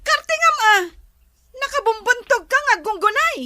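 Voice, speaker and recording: An actress in a radio drama playing a bossy, impatient, haughty young madam who snaps out orders. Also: female voice, extremely high pitch, expressive, normal speed, background noise. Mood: impatient, commanding. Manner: imperious, snapping orders. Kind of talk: Ilocano radio drama acting.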